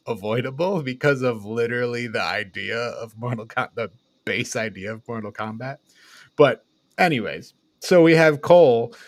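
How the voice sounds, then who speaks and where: A man's voice: medium pitch, no echo. A man co-hosting a casual film review chat, talking informally with friends. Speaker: podcast co-host.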